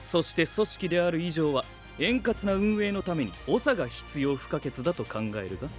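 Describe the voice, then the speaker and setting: A composed, pedantic young male scientist knight, acted by a man in an anime voice drama. He phrases things formally and logically, with lively pitch movement. Light music runs behind the voice. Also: moderate pace, natural voice. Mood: cool, analytical. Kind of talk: Japanese anime-style voice drama acting.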